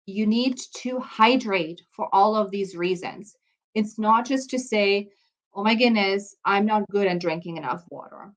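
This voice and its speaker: A woman's voice: medium pitch, low-quality recording. A woman from Germany gives an informal health lesson, explaining and often checking in with her listeners. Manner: explanatory, checks in with "okay?" and "right?". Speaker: health course instructor. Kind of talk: informal lecture to an audience.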